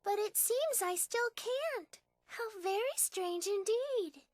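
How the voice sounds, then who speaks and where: A woman's voice: very high voice, lively intonation, slow pace, dry recording, heavily acted. A woman voicing a sweet, naive, earnest young girl in a video game, speaking politely and innocently. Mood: earnest, contrite. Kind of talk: English video game dub acting.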